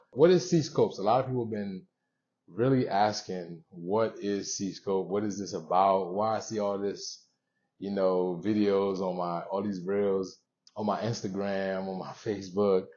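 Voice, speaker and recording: A young man hosting a motivational show, speaking to viewers in an earnest, conversational way with pauses between short phrases, on a dull recording. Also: fairly low pitch, fairly even intonation, darkish tone. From born in Haiti, moved around the US. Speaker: show host.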